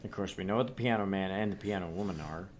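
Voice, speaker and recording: A man's voice: sits low, quick pace, slight background noise. A man co-hosting a casual let's play, reading game menus aloud with wry commentary. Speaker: gaming co-host.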